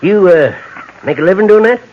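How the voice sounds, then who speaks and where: An actor playing a blunt, cocky rainmaking drifter, drawling in a folksy rural American accent in an old radio western. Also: male voice, sits high, expressive, brisk, a little hiss or hum. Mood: nosy, folksy. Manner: drawling, folksy, plain-spoken. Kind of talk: 1950s radio western acting. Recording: dull, lo-fi old radio recording.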